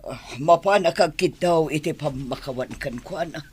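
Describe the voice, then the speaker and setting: An actress playing a haughty, temperamental wealthy madam in a melodramatic radio-style drama. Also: female voice, low-pitched, moderate pace, a little mellow, slightly rough. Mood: lonely, regretful.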